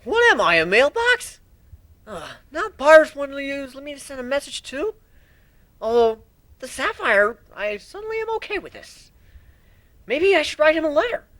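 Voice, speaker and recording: A man voicing a cheeky, excitable young dragon boy in a storybook reading. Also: very high voice, moderate pace, pitched above the performer's own voice, full character voice. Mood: grumbling, eager. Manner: grumbling, boyish, excitable. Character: young male dragon, cheeky, eager, easily smitten.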